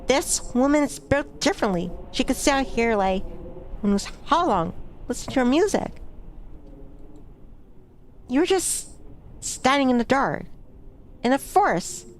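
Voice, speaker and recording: A woman's voice: sits high, animated, a little slow, pauses often, a little hiss or hum. Woman streamer reading a visual novel. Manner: casual, chatty asides. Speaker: VTuber streamer. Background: background music.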